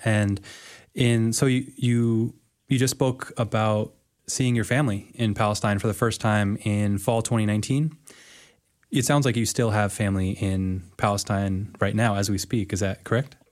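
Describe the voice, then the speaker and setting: Male podcast host, slightly low voice, polite and measured questions. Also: fairly even intonation. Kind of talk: interview questions.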